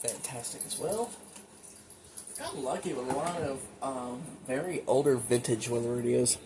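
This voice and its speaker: A man's voice: medium pitch, moderate pace, darkish tone. A man giving a casual, folksy show-and-tell narration with muttered asides. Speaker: hobbyist showing a collection. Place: indoors at home. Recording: noisy home recording with radio chatter. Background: weather radios playing, background noise.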